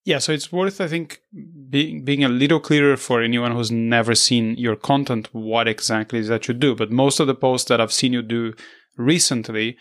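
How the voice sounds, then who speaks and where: A man whose first language is Portuguese, hosting a podcast interview in a conversational, halting way with frequent restarts. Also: mid-range voice, steady tone, fairly bright tone. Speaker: podcast host.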